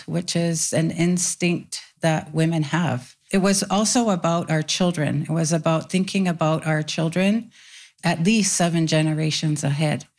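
Deep gravelly woman, slow and reflective. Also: darkish tone. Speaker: panel guest. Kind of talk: panel discussion.

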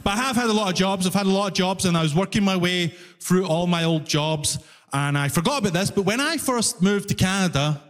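A man's voice: high voice, fairly quick, fairly bright tone. A man with a Glaswegian Scottish accent performing a stand-up comedy routine. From Glasgow, Scotland. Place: live comedy venue on stage. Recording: live stage with long reverb.

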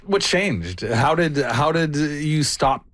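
A man's voice: fairly high pitch, lively intonation, takes it slowly, a bit bright, dry recording, clean studio sound. A man interviewing a guest, curious and encouraging as he asks questions. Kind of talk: interview conversation.

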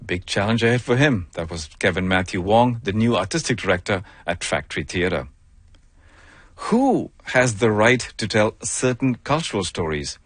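A man hosting a radio arts segment, reading introductions in a lively presenter manner. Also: fairly low pitch, normal speed, no echo.

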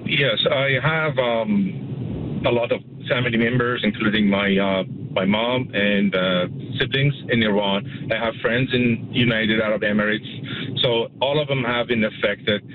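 A man calling in to a radio show, speaking in a halting, personal way. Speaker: caller. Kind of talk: phone-in call. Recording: phone line with rumbling vehicle noise.

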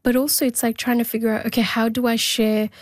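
A woman guest talks eagerly and quickly, often saying "like" along the way. Her pitch stays fairly flat, and the sound is dry and close. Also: no room sound. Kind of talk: conversational interview.

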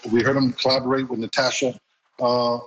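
A man's voice: mid-range voice, little pitch movement, a little fast, over a noisy crowd, rough recording. Conversational male radio interviewer. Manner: conversational, leading questions. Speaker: radio show host.

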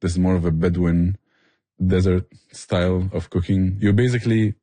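A man's voice: low-pitched, flat delivery, normal speed, warm tone, no room sound. A man explaining things with passion in a conversational interview. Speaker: barbecue restaurant owner and chef, interview guest.

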